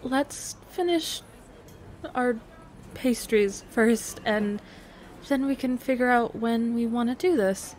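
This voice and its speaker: A woman's voice: fairly high pitch, slow, darkish tone, a little hiss or hum. A woman playing an affectionate, teasing young girlfriend on a secret cafe date in an intimate roleplay audio, speaking casually with hesitant restarts. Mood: nervous, tender.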